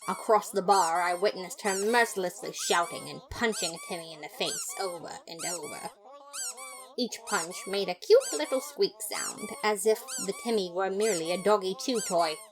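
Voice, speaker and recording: A woman reading scripted first-person fiction in a dramatic, expressive narrator's manner. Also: mid-range voice, moderate pace, background noise. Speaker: podfic narrator.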